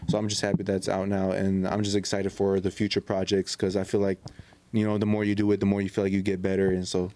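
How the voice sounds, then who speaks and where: A man's voice: sits low, completely flat delivery, fast talker, dark tone. A man co-hosting a casual podcast, talking in a laid-back, slangy way and repeating himself often. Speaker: podcast co-host. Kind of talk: casual chat.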